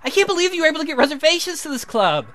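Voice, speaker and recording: A man voicing an eager, playful young woman on a date in a game, with excitable, teasing delivery. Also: extremely high pitch, rapid-fire, a crowd in the background, pitched above the performer's own voice. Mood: delighted, teasing.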